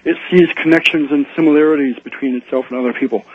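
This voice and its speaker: Man, radio guest on the phone, husky voice, halting, lots of ums, tinny dry line. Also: a little high, dry recording. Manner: halting, with many ums and repeated words. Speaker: guest author. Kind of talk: explaining ideas on a radio show.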